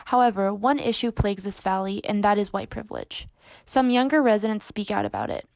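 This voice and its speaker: A woman reads a news segment in a steady, scripted delivery. Her voice has a gravelly fry, and the recording is close and dry. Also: medium pitch, fairly quick, no room sound. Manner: even, read-aloud delivery. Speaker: student reporter.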